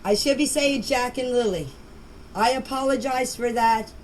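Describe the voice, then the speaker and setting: A middle-aged or older woman speaking off the cuff in voice messages, defensive and insistent, often stumbling and repeating herself. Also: on the high side. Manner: halting, stumbling over words and names. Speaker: member of an online group chat sharing voice messages. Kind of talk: casual unscripted voice messages. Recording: noisy amateur voice message recording.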